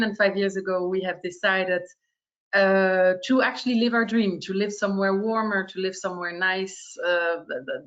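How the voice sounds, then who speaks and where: A woman's voice: mid-range voice, fairly bright tone. Panelist: woman in her thirties, cheerful and easygoing; slightly muffled video call sound. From France. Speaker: real estate panelist. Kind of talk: online webinar introduction.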